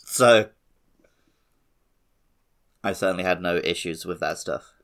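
A man's voice: sits low, takes it slowly, a bit bright. A man hosting a podcast, talking casually about video games in a conversational way. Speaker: podcast host. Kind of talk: casual gaming podcast chat.

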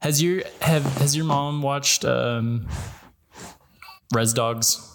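A young man co-hosting a podcast in casual chat, playful and quick to laugh, often restarting his sentences. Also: mid-range voice, background noise. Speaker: podcast co-host.

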